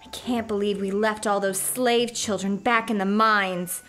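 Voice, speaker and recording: A woman playing an earnest, conscientious starship doctor in a comic science fiction radio play, quick to object. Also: mid-range voice, fairly even intonation, a bit bright, faint music behind, natural voice. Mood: indignant. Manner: earnest, indignant.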